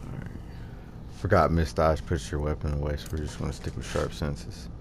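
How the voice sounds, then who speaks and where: A man's voice: extremely low pitch, level tone, moderate pace, gravelly. A man giving casual, offhand commentary as he plays a video game, muttering to himself. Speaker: gamer narrating his own gameplay. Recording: game noise under the voice. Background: video game dungeon ambience and combat sounds.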